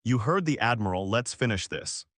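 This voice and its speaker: A man's voice: lowish voice, lively intonation, moderate pace, fairly bright tone, dry recording. A resolute woman commander of a resistance force, read by a male narrator, speaks in terse military phrases. The sound is dry and close, from an audio space war story. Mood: determined.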